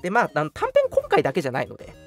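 A man's voice: fairly high pitch, wildly swooping pitch, very fast, faint music behind. A young man hosting an online radio show, making announcements in a polite, earnest, conversational way. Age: young adult.

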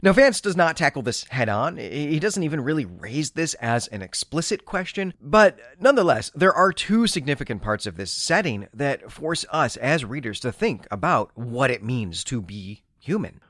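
A man's voice: medium pitch, very animated, moderate pace, hardly any pauses, fairly bright tone, no room sound. A man hosting a podcast, explaining and discussing books in an articulate, scholarly way. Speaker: podcast host. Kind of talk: literary discussion and book recap.

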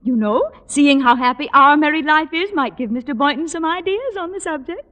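Genteel, lilting middle-aged wife. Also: female voice, sits high, animated, brisk, pushed and bright. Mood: gracious, coaxing. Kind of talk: 1940s-50s radio sitcom acting. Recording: echoey, dull old radio broadcast.

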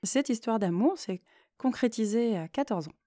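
A woman talking reflectively on her own as a podcast host, thoughtful and hesitant, often pausing mid-phrase. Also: on the high side, lively intonation. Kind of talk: reflective solo podcast talk.

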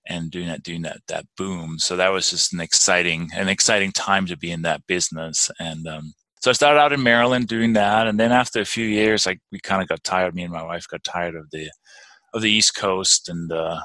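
A man's voice: deep voice, moderate pace, bright, forceful tone, dry recording. A man in his forties with a Danish accent, answering interview questions hesitantly, with many fillers and restarts. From Denmark. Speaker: podcast guest.

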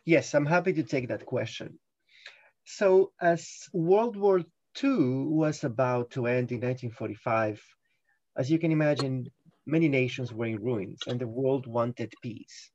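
Measured man with halting ums. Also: medium pitch, darkish tone, no room sound, dull audio. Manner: thoughtful, frequent ums and restarts. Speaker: guest, UN guided tours chief. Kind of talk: explanatory podcast talk.

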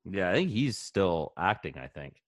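A man's voice: low voice, sing-song, moderate pace, no room sound, studio quality. A man in his forties co-hosting a podcast, giggling often and tossing in playful asides. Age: 40s. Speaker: podcast co-host. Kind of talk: casual podcast banter.

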